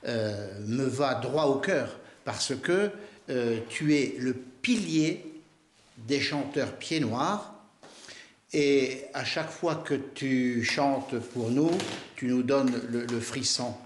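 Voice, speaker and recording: Male interviewer, mid-pitched, hesitant and filler-heavy, roomy recording with faint hiss. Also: slow pace. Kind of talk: interview questions.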